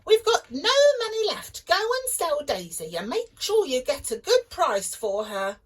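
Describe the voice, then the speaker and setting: A woman voicing an exasperated mother scolding her smelly son in a high voice. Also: huge pitch swings, unhurried, distant mic, exaggerated voice.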